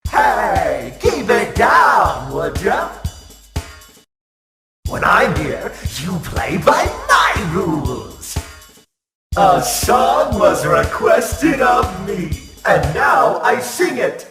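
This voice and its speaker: A bossy robot diva commands in slow, theatrical swoops. Her voice has a gravelly edge. It sits in echo over music. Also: on the low side, big pitch swings, heavily acted. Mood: commanding. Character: bossy female robot singer.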